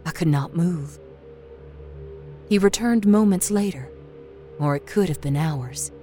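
A woman's voice: on the low side, unhurried, dark tone. A woman reading a scripted story as a dramatic storyteller. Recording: faint music bed.

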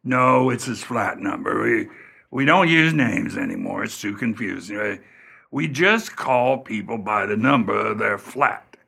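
A man plays a nervous caretaker in a radio play with a low, raspy voice. His speech is fussy and halting, stumbling over repeated words, with a sharp, forceful edge. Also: full character voice. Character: fussy, anxious, hesitant residential caretaker.

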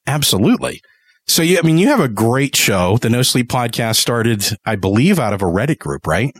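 A man's voice: medium pitch, sing-song, normal speed, husky, no room sound. A man hosting a podcast and interviewing a guest in casual conversation.

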